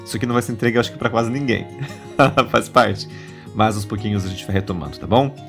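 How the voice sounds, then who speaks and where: A man's voice: fairly low pitch, fast talker, vocal fry, faint music behind. Nasal Brazilian man with a cold. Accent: Brazilian Portuguese. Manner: chatty, warm asides, many tag questions. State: stuffy with a cold. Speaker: podcast host. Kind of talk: casual religious podcast chat.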